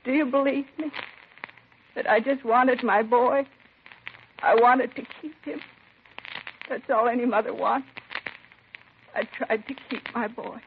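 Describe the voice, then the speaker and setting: A woman's voice: fairly high pitch, steady tone, unhurried, pauses often. An actress playing a possessive, defensive older mother in a 1950s crime radio drama. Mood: anguished confession. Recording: tinny, crackly 1950s radio recording.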